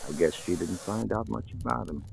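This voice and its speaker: A man acting a folksy, wryly sympathetic small-town police lieutenant in a 1940s radio drama, with hesitant, drawn-out phrasing. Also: lowish voice, vocal fry. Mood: knowing, grave. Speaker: radio actor. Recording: noisy, hissy old radio recording.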